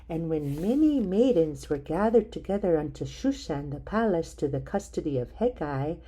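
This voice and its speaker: A woman reading scripture aloud in a measured, careful way, sometimes restarting on hard names. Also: fairly low pitch, dark tone. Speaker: Bible reader.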